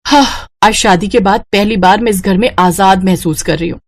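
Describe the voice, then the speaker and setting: A woman voicing a long-suffering, overworked young daughter-in-law in an animated family story. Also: mid-range voice, normal speed, lightly acted. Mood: grumbling. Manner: polite aloud, grumbling to herself.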